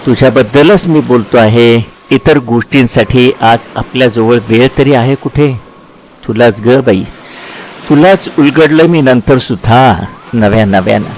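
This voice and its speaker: A man playing Krishna as a tender, reflective lover looking back in a dramatized literary dialogue, speaking with halting repetitions. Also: mid-range voice, darkish tone, slight background noise, lightly acted, low-quality recording. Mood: gently confessing.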